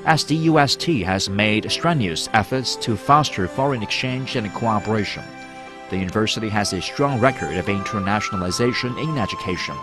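A man's voice: fairly low pitch, lively intonation. Formal male voice-over over music. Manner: formal, measured. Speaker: narrator. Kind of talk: scripted promotional narration. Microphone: studio voice-over.

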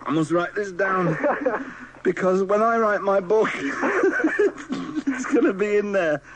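A man's voice: high-pitched, lively intonation, slow pace, background noise. A man hosting a radio quiz in live banter, giggly and struggling to keep his composure, on an old radio recording.

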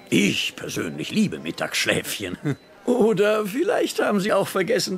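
Casting: sardonic older chief of medicine, pompous, swooping delivery with creak, comedy series dub. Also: male voice, high-pitched, a bit bright, slight background noise, exaggerated voice. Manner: mock-courteous, pompous, sardonic. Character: sarcastic older male hospital chief. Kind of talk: German-dubbed TV comedy acting.

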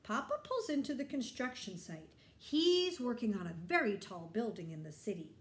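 A middle-aged woman reading a picture book aloud to children, explaining and asking simple questions in an animated way. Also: fairly high pitch, moderate pace, reverberant. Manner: animated, explanatory, addressing young listeners. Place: bright indoor room.

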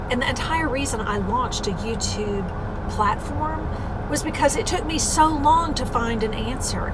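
A woman in her late fifties with a Southern American accent, talking earnestly to her camera from inside a parked car. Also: medium pitch. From Southern United States. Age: 50s. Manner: earnest and emphatic, repeats phrases for stress. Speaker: vlogger. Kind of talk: casual talk to camera. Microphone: phone camera on the dashboard. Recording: loud car and traffic noise.

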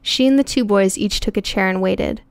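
Brief: female audiobook narrator, mid pitch, even and clear, close dry studio mic. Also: moderate pace, no room sound. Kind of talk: scripted narration.

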